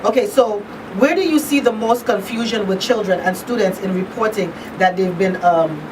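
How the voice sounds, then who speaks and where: A woman's voice: mid-range voice, moderate pace. A woman hosting a conversational radio talk show interview, asking questions. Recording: distant mics, clear hum and background noise.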